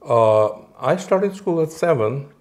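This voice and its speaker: A man in his forties from Moldova, a native Romanian speaker, answering interview questions in a halting way with many fillers and restarts. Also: mid-range voice, animated, darkish tone. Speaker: interview guest.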